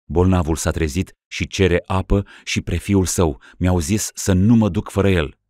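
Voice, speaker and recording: A man's voice: deep voice, darkish tone, dry recording, clean studio sound, natural voice. A man playing a plain servant. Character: male servant bringing word.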